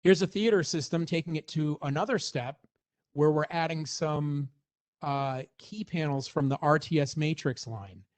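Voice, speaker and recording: A man's voice: fairly high pitch, moderate pace. A man presenting a product walkthrough in an informal, explanatory way, as in a semi-scripted technical demonstration.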